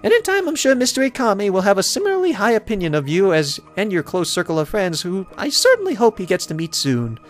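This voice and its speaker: Man doing a proper, high-voiced lady pony mayor. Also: sing-song, faint music behind. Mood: formal. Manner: formal, measured politeness. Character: polite, proper, motherly pony mare mayor of small town.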